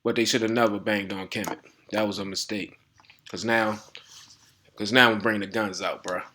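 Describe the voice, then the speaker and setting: A man with giving a casual lecture to viewers. He reads text aloud haltingly, with restarts, and keeps asking "all right?". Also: on the low side, fairly even intonation, sharp tone, a little hiss or hum. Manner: talks informally, often asking "all right?". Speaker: video host.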